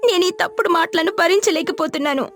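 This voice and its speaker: Woman acting a high-pitched weeping young widow. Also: a little fast. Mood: tearful despair. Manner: tearful, pleading. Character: weeping young widow, pleading, devout and wrongly accused.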